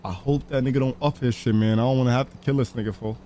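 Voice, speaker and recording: Fast-talking man, slangy gamer chatter. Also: medium pitch, steady tone, mellow, a little hiss or hum. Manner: slangy, repeats phrases. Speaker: streamer playing a crime role-play game. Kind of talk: casual in-game role-play chatter.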